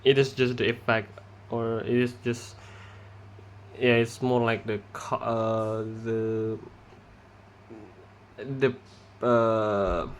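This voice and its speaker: A young Indonesian man gives a class presentation in English, an Indonesian accent over an ordinary mid-range voice. He speaks very slowly and haltingly, filling gaps with pauses and restarting his sentences. A faint room ambience sits behind him. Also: mid-range voice, flat delivery, slight background noise. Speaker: student presenter.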